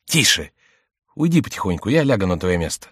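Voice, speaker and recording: A man's voice: low voice, big pitch swings, a little fast, breathy edge, no room sound, studio quality, heavily acted. A man voicing a sly, mocking French court jester in a theatrical, exclamatory audiobook read. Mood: brisk, teasing. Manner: theatrical, exclamatory, mock-plaintive.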